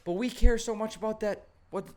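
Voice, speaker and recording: A man's voice: high voice, fairly quick. A young man hosting a podcast, chatting casually with jokes, bursts of energy and casual swearing. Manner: joking, animated, swears casually. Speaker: podcast host.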